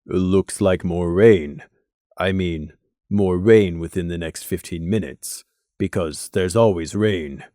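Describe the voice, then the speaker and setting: Reserved armored stallion, voiced by a male narrator: far deeper register, understated, halting delivery, fantasy storybook. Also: dark tone, no echo, clean studio sound. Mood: gloomy. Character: Crimson, low-voiced reserved male pegasus guard stallion.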